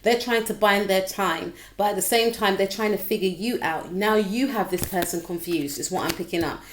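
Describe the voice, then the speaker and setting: Young woman tarot reader, croaky, talkative, middle pitch, direct address to viewer. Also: moderate pace, slight background noise, rough recording. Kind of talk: casual tarot reading to camera.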